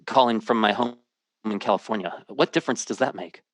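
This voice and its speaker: Actor as low-voiced, worried American man. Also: fairly quick, natural voice. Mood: impatient, indignant. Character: worried, impatient brother narrating his search for missing sibling. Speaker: lead actor and first-person narrator. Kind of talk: radio drama acting and hardboiled narration.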